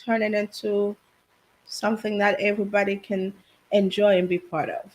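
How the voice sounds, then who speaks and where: A woman from Haiti raised in New Jersey, a business owner telling her story softly as a guest on a video call. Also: mid-range voice, flat delivery, normal speed, poor audio. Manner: soft-spoken storytelling with laughs, quiet. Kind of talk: casual interview chat.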